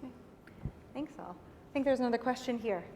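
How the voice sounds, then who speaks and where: A woman moderating a conference panel Q&A, brisk and facilitating. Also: a little high, warm tone, slight background noise. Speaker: session moderator. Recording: reverberant conference hall with faint ambience.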